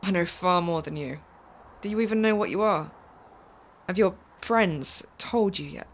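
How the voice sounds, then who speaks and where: Casting: mysterious female protector, low-voiced, calm and knowing, audio drama. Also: measured pace, slight background noise. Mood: earnest, knowing. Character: mysterious, calm, insistent woman driver, secret protector.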